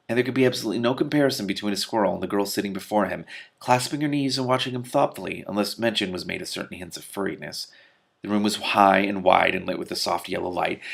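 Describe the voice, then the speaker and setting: A man's voice: medium pitch, creaky. A man reading a fantasy novel aloud expressively, with casual asides of his own. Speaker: reader and commentator.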